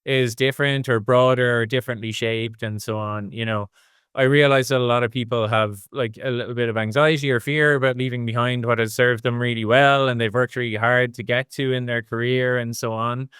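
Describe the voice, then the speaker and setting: Middle-aged male interview guest, clear resonant voice, casual and discursive, closely recorded with no room sound. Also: medium pitch, fairly even intonation, a little fast, fairly bright tone, no room sound, clean studio sound. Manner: discursive, thinks aloud in long hedged explanations. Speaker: interview guest, design executive.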